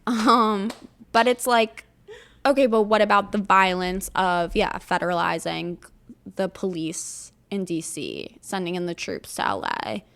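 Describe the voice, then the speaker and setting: Woman, podcast co-host, creaky vocal fry, halting casual delivery, lots of "like", conversational. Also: medium pitch, expressive, a bit bright. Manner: halting, heavy use of "like". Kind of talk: casual conversational political chat.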